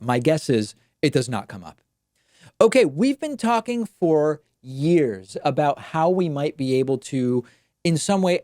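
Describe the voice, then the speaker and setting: A man's voice: mid-range voice, dark tone, no room sound, studio quality. Argentine-born man hosting a political show. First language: Spanish. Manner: measured, explanatory, weighs pros and cons. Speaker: political talk show host. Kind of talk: solo political commentary.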